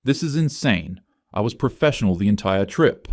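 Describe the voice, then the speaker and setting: Narrator as accused male employee in a story, low voice, agitated, bewildered, pleading. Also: animated, normal speed, no echo, clean studio sound. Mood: indignant. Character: desperate, bewildered accused male office worker.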